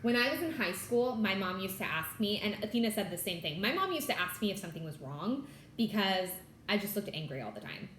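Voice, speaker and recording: A woman's voice: medium pitch, lively intonation, brisk, fairly bright tone, slight background noise. Bubbly chatty woman in an echoey room. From California. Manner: bubbly, chatty, says "like" and "y'all" a lot. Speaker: Flosstube host. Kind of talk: casual chat to camera.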